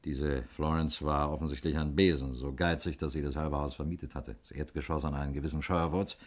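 An actor in a 1960s German radio play playing a dry, wry, matter-of-fact male police investigator who explains things deliberately. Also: rumbling low, steady tone.

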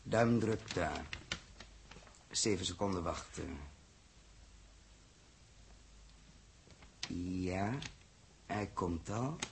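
A man's voice: low voice, slow pace, creaky, heavily acted. A man acting a blunt, principled spaceship commander in a 1960s Dutch science fiction radio drama. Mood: tense, businesslike. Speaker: spaceship captain. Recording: noisy old radio drama recording, clicks.

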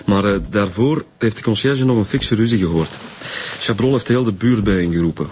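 An actor playing a dry, grumbling police detective in a naturalistic radio drama, with a Flemish accent. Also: male voice, on the low side, a little fast, darkish tone, slightly rough. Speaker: actor in a radio crime serial.

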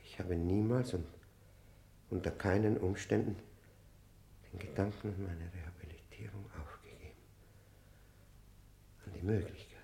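A proud, worn-down prisoner in a radio play. His voice is low and creaky, set in an echoing room. He speaks formally and haltingly, insisting on his dignity. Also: steady tone, mellow, slight background noise. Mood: wounded, insistent. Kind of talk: German radio play acting.

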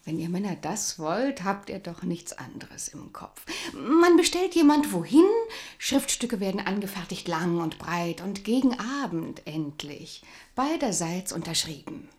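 An actress playing a cunning, coquettish young miller's wife in a German radio play, breathy and often half-whispering, coy and wheedling. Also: female voice, lowish voice, sing-song, a little slow, hardly any pauses, a little mellow. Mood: mocking, sly.

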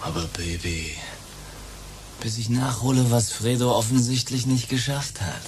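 An actor in a German science-fiction radio drama playing a vain, scheming, self-pitying rock star who drops English showbiz slang. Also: male voice, mid-range voice, a little slow, slight background noise, close to an everyday voice. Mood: smug, casual.

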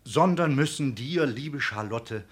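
An older man playing a kindly old provost in a radio drama, making a ceremonious, halting, sentimental speech. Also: mid-range voice, expressive, breathy edge. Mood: solemn, urging. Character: kindly, solemn old provost and foster uncle.

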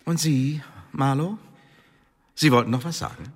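An actor playing a stern, dismissive Elizabethan intelligence chief in a radio drama, curt, sardonic and commanding. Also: male voice, medium pitch, a little slow, breathy edge. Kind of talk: German radio drama acting. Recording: long hall reverb.

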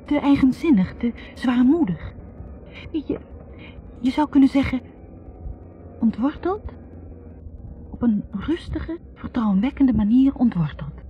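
An actress playing a candid, intense young student who analyses herself haltingly, in a confessional 1960s radio drama monologue. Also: female voice, medium pitch, natural voice. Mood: musing. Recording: muffled, noisy 1960s radio recording.

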